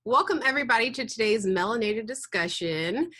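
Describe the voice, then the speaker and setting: A woman's voice: on the high side, expressive, a little fast, sharp tone, soft background music. A woman, hosting a podcast and moderating a group discussion. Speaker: podcast host.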